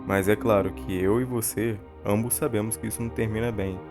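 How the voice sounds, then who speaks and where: A man's voice: on the low side, steady tone, fairly quick. A man with a Brazilian accent giving scripted video-essay narration and analysis. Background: background music.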